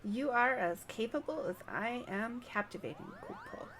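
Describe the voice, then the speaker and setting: A woman's voice: fairly high pitch, expressive, normal speed, fairly bright tone, voice pushed up. A woman reading aloud the game dialogue of a vain, flowery little moogle with pompous, ornate phrasing. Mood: vain, smug.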